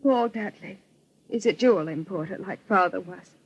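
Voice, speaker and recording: An American woman playing an anxious, well-bred bereaved daughter in an old-time radio melodrama, speaking earnestly and politely with a husky voice. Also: mid-range voice, moderate pace, lightly acted, rough recording. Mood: earnest, troubled. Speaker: radio actress.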